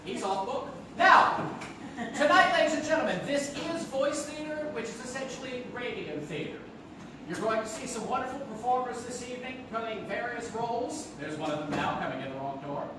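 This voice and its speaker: A woman with a high-pitched voice welcomes an audience as the host of a stage show. She is picked up from a distance in a heavily reverberant theater. Clear background noise sits around her announcements. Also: lively intonation, normal speed. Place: small theater stage before a live audience. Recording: roomy echoing theater, distant mic, noisy.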